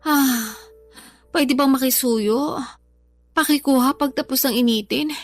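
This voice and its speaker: A young woman in a radio drama, really high voice, plaintive and defensive, music behind. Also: unhurried. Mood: casual, stubborn. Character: defensive, self-pitying young woman who loves eating.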